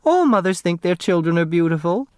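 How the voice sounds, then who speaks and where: A man voicing a gentle, wistful, chatty older motherly seamstress in an audiobook. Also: high voice, sing-song, fairly quick, smooth, dry recording, voice pushed up. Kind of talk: audiobook character dialogue.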